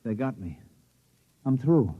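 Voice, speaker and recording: A man's voice: mid-range voice, sing-song, slow, husky, phone-quality sound, full character voice. An actor with an American accent playing a frantic, henpecked repairman husband, stammering and pleading in old-time radio comedy style. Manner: stammering, flustered, exclaiming.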